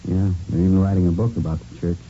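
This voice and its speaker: A man's voice: low voice, flat delivery, fast, dark tone, natural voice. An actor playing an obliging, chatty male gym proprietor in a vintage radio police drama. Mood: obliging, casual. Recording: muffled, noisy vintage radio recording.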